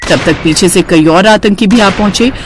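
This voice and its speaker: Woman doing scripted story narration, normal mid pitch. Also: normal speed, background noise.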